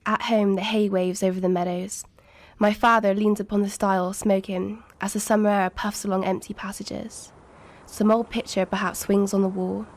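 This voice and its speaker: Woman acting schoolgirl from the country, mid-pitched, brooding, unshowy, subdued, serious, radio play. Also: little pitch movement, normal speed, close to an everyday voice. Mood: wistful. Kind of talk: poetic audio drama monologue and dialogue.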